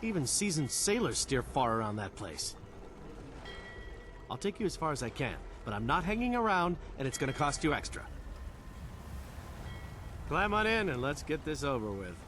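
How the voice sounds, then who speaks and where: A man's voice: a little high, very animated, moderate pace, long pauses, background noise. An actor playing a blunt, grudging working boatman in a fantasy game. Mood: wary, grudging. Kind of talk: fantasy game character dialogue.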